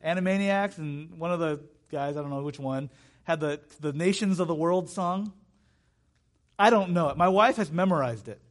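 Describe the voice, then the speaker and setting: High-voiced man preaching. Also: moderate pace. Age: 30s. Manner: emphatic, repeats key phrases, conversational asides. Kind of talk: sermon.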